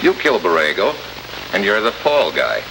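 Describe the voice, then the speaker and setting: An actor playing a tough, wisecracking American special agent in an old radio drama, sardonic and slangy. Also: male voice, deep voice, wildly swooping pitch, moderate pace, gravelly, full character voice. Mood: needling, hard. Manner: sardonic, hard-boiled, slangy. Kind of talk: 1950s radio drama acting. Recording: noisy old radio recording, heavy hiss.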